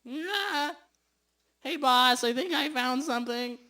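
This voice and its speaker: Woman playing a high-voiced, slow, dopey sidekick. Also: sharp tone, full character voice. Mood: dazed. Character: dim-witted, eager, clumsy detective's sidekick. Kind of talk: comic hobby radio drama acting. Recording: rough amateur recording.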